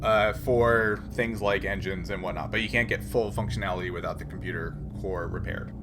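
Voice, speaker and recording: A man running a tabletop roleplaying game as game master, improvising his narration haltingly as he thinks aloud. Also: low voice, fairly even intonation, over music. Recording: noisy room ambience.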